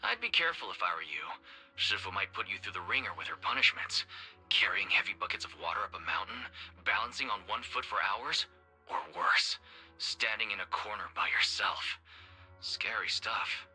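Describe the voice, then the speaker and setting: Teasing older-brother type game disciple, low raspy voice, super forward tone. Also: male voice, animated, moderate pace, sharp tone, soft background music, heavily acted, poor audio. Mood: mock-scary teasing. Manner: playfully ominous. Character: teasing senior male martial disciple.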